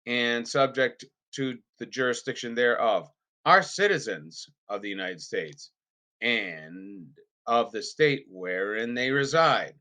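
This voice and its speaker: A man reading a formal legal document aloud in an unrehearsed, deliberate and emphatic way, with the occasional stumble. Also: medium pitch, pushed and bright. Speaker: reader.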